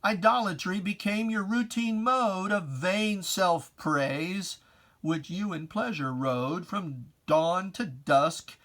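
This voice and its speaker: Man declaiming verse slowly, high-pitched. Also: a bit bright. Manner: declamatory, emphatic, theatrical delivery. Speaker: poetry reader. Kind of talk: dramatic reading of religious verse.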